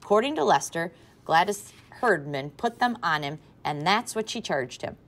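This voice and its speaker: A woman reading aloud voices a frazzled mother who drives a school bus, talking loudly and in exasperation. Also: lowish voice, animated, normal speed. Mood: indignant. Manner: loud exasperated shrieking.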